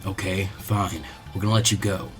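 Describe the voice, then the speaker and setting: Stoic young male ninja for a story, voiced by a man: low, dry, coldly calculating, deadpan. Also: normal speed, soft background music, lightly acted.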